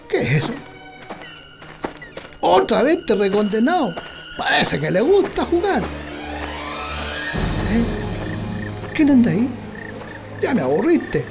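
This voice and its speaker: A stubborn country husband in a Chilean radio drama. His voice sits fairly high and moves up and down a lot. The speech is rustic, colloquial and dismissive, set over prominent music. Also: vocal fry, full character voice.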